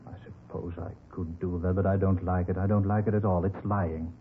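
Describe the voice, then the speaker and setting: Gentle, stubborn old businessman played by a male actor, low-voiced and hesitant, for a vintage radio crime drama on a tinny recording. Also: level tone, fairly quick, slight background noise, close to an everyday voice. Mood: troubled, reluctant. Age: older. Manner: hesitant, mild, repeats himself.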